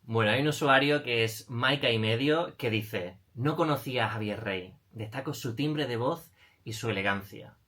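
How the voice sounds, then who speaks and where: A man's voice: medium pitch, normal speed, a bit bright. A man, co-hosting a radio interview in a conversational style. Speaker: radio co-host.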